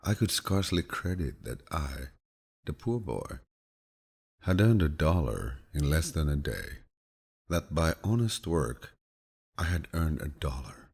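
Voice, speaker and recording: Very deep, gravelly male audiobook narrator. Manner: calm, grandfatherly, measured storytelling. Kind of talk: scripted audiobook reading of quotations.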